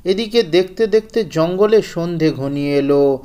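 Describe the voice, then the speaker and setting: A man with a fairly high-pitched voice serves as the narrator of a children's cartoon tale. He delivers scripted storytelling lines. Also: animated, measured pace, warm tone, clear, resonant tone.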